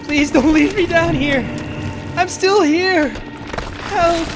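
Woman as a despairing dreamer, frightened, pleading, slow speech, music behind. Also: very high-pitched. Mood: panicked. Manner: frightened, pleading, cries for help. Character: despairing young woman trapped in a grave dream.

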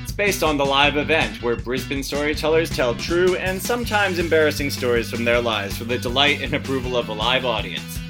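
A man's voice: medium pitch, fairly quick, few pauses. A man with an Australian accent, a podcast host, reading a scripted promo. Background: guitar music.

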